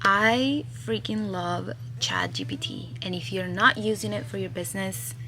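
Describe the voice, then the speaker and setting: A woman's voice: medium pitch, sing-song, normal speed, over music, poor audio. A young woman from Chile, a native Spanish speaker, chatting casually at home about her knitting and crochet designs and thinking aloud. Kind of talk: casual vlog chat.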